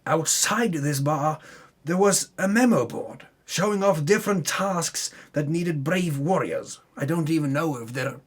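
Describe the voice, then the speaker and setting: A man's voice: a little high, lively intonation, unhurried, slightly rough. A man giving a mock-solemn, theatrical dramatic reading as the storyteller of a tabletop campaign, pompous with comic self-correcting asides.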